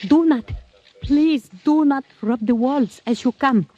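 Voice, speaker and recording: A woman's voice: high-pitched, unhurried, dark tone, dull audio. An actress playing an alluring, world-weary waterfront woman in theatrical, intimate old-time radio drama style. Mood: urgent, guiding.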